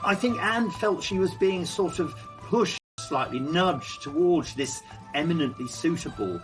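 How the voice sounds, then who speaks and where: Man, royal commentator, interview commentary, slightly high pitch, faint music behind. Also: animated, measured pace, rough recording.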